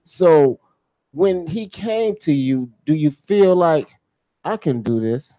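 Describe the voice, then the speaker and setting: A man's voice: fairly high pitch, animated, slow, mellow, no echo, poor audio. An American man hosting a casual radio interview, effusive and often repeating his phrases. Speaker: radio show host.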